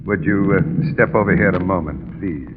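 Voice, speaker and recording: A man's voice: deep voice, moderate pace, narrow, tinny audio, natural voice. A man playing a courtly, ominous uncle of a castle, formal and theatrical, in 1930s radio drama style. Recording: noisy, reverberant 1930s radio recording.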